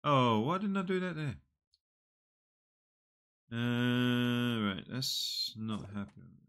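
A man in his forties with a slightly nasal voice from a cold, quietly talking through a mobile puzzle game on a headset. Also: mid-range voice, clean tone. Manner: slow, muttering play-by-play, often trailing off. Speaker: gaming channel host. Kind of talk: casual mobile game commentary. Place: small room indoors.

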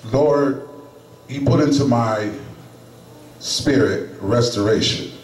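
A middle-aged man preaching a sermon in a small chapel into a handheld microphone, emphatic and pausing often. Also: medium pitch, a little mellow, a little hiss or hum. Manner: slow, emphatic preaching with pauses. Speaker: lay preacher. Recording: echoey room, camera at a distance.

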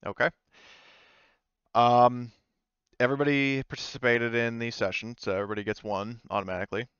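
A man's voice: mid-range voice, little pitch movement, fairly quick, a bit bright, clear, resonant tone, no echo, lo-fi sound. Male game master, clean resonant voice, casual delivery at the table, close and dry.

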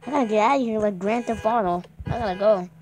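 A woman's voice: mid-range voice, fast, a little mellow. A woman doing playful gameplay commentary with roleplay banter, on a noisy home recording. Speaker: gamer playing a roleplay.

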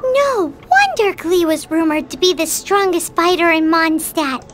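A woman voicing a childlike, excitable little girl in an anime video game, cutesy and squeaky. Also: measured pace. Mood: amazed, wary. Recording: loud game music behind.

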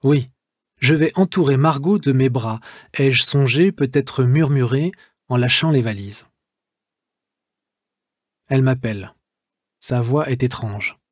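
A man reading his own memoir aloud as an audiobook, in a measured, literary first-person narration. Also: medium pitch, no room sound, clean studio sound.

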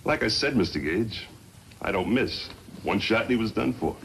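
An actor playing a cocky hired gunman in a 1950s radio western, with folksy, rough grammar. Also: fairly low pitch, expressive, moderate pace, fairly bright tone. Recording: noisy vintage 1950s radio recording.

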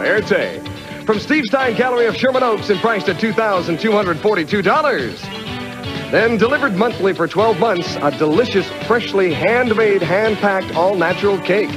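A male game show announcer with a high voice, delivering polished, scripted sales patter about prizes. Music plays clearly behind him.

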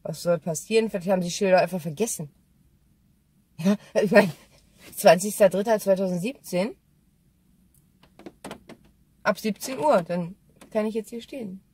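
A woman's voice: mid-range voice, moderate pace, darkish tone, breathy edge. A woman, chatting casually and candidly to camera, rambling as she thinks aloud. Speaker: vlogger. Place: inside a car. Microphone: dashboard-mounted camera or phone. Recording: noisy car interior, some distance from mic.

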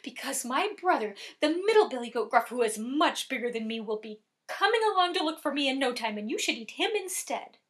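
A woman's voice: sits high, expressive, a little fast, few pauses. A woman voicing a small, timid young billy goat in a storybook tale, stammering and pleading in a hurry. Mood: eager, scheming.

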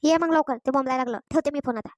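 A woman's voice: high voice, very fast, no room sound, lightly acted. A woman voicing a bossy, nagging village grandmother in a cartoon comedy, with a rural Maharashtrian accent. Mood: urgent. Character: scolding, exasperated village grandmother. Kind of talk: Marathi cartoon comedy dialogue.

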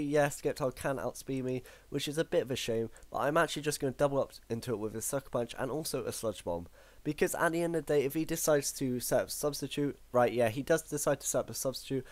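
Croaky guy commentating his match, lots of ums, dry mic. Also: mid-range voice, quick pace, dry recording. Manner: thinks aloud, hesitant fillers and self-corrections. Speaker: gaming commentator. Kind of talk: live battle commentary over gameplay.

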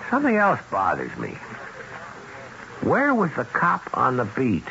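An actor playing a skeptical, terse police sergeant in a 1970s radio drama, clipped and matter-of-fact. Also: male voice, mid-range voice, wildly swooping pitch, measured pace, creaky, heavily acted. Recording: dull, noisy old radio broadcast.

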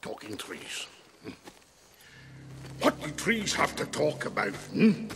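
An actor playing a gruff, boastful older dwarf warrior who grumbles and blusters for comic effect in a fantasy film. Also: male voice, a little high, very animated, slow, background noise, full character voice. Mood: sceptical muttering. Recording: film soundtrack with music behind.

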